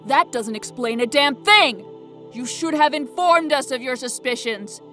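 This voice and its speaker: Indignant goddess played by a woman: higher register, blunt, challenging, audio drama over music. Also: a little slow, sharp tone. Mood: angry. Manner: terse, confrontational. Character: indignant, blunt warrior-like goddess, sharply accusing.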